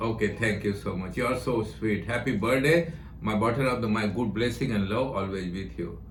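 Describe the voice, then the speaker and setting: A man's voice: lowish voice, normal speed, roomy sound, a little hiss or hum. A man hosting a video call as a spiritual teacher, joking playfully in broken English and dropping in Spanish phrases. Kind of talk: casual chat.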